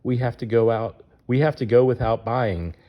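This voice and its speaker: A man's voice: mid-range voice, normal speed, muffled recording. A man reading a rhyming children's picture book aloud as its narrator.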